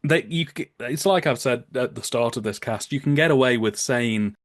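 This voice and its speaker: A man in casual conversational commentary, telling anecdotes in an amused, animated way. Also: medium pitch, normal speed, dry recording, studio quality. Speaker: podcast guest.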